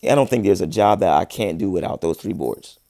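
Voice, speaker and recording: A man's voice: low-pitched, expressive, a little fast, gravelly, dark tone, no room sound, studio quality. A man in his thirties chatting casually on a livestream, answering viewers with laid-back slang. He says he is losing his voice, and his talk is full of restarts. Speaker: livestream host, musician.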